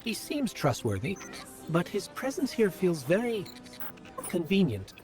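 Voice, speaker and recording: An actor playing a small robot companion in a video game, earnest, polite and precise, with a naive curiosity. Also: male voice, high voice, wildly swooping pitch, a little slow, music in the background, heavily acted. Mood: earnest, watchful.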